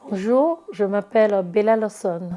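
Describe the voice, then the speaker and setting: Mellow, dark-toned woman singer being interviewed. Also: mid-range voice, lively intonation, a little slow, soft background music. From Chad or Togo. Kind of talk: interview answers.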